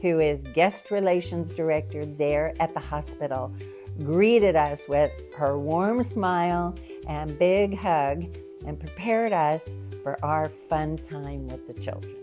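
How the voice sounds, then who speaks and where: A woman's voice: deep voice, slow pace, dark tone. An older woman co-hosting a travel ministry show, chatty and upbeat. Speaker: co-host. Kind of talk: casual co-hosting. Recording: faint music behind.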